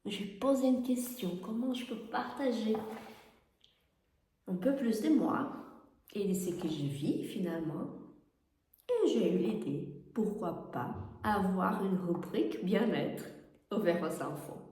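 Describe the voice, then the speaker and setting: Woman, Portuguese native, calm guiding read, slow and mellow, very echoey room. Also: medium pitch. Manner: gentle, guiding, with soft laughs. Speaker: yoga teacher and wellness columnist. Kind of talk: reading her own column aloud. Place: her home.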